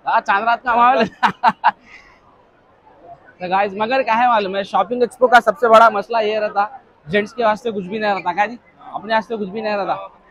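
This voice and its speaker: A man's voice: sits high, steady tone, a bit bright, muffled recording. Joking Hyderabadi man vlogging a busy expo. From Hyderabad, India. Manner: jokey, teasing, quick to laugh. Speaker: vlogger host. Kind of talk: casual vlog chat. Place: crowded indoor expo hall and outdoor food stall. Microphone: handheld mic.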